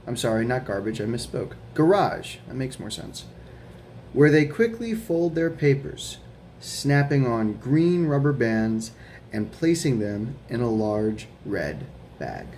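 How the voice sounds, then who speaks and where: A man's voice: medium pitch, sing-song, slight background noise. A man reading a children's picture book aloud as a guest reader, stopping to ask the young listeners questions. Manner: slow, gentle storybook reading with questions to kids.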